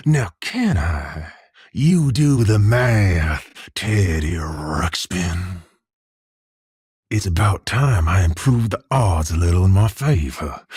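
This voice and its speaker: A swaggering video game gunslinger with a slow drawl. His low voice swings widely as he taunts, gleeful and menacing. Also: slightly rough, dry recording, studio quality, exaggerated voice. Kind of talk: video game villain acting.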